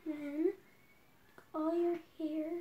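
Young girl vlogger, high-pitched and unhurried, casual and self-narrating, recorded on a device a few feet off in a quiet room. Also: flat delivery, narrow, tinny audio. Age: child, around 10 to 12. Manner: chatty, unscripted, self-talking while tying a headscarf. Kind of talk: casual home tutorial vlog. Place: small bedroom indoors. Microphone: phone or tablet camera set across the room.

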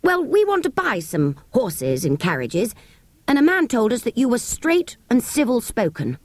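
A woman's voice: wildly swooping pitch, normal speed, darkish tone, no echo. A woman voicing a plucky, well-bred young English boy in a storybook, earnest, proper and easily indignant. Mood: earnest politeness. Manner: earnest, proper, high-pitched delivery.

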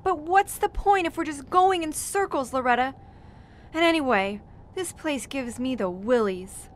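High-pitched, fretful young woman on the run. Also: expressive, unhurried. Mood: uneasy. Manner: hesitant, fretful. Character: frightened young woman bank teller on the run. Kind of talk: period suspense audio drama acting.

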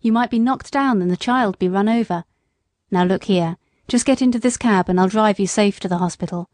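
A woman's voice: mid-range voice, brisk, a little mellow, dry recording, natural voice. A woman voicing a kindly, plain-spoken London cab driver in a storybook, firm and fatherly.

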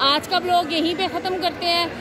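A woman's voice: extremely high pitch, steady tone, brisk. A woman with a Pakistani accent casually narrating a vlog to her viewers in appreciative, conversational running commentary, recorded on a handheld phone in a shopping mall. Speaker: family vlogger. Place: busy indoor shopping mall. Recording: very noisy, crowded mall ambience. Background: loud crowd and mall noise.

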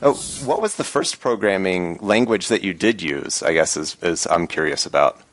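A man's voice: deep voice, expressive, moderate pace. A man co-hosting a tech podcast, asking curious questions in a chatty way. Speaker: podcast co-host. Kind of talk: casual chat.

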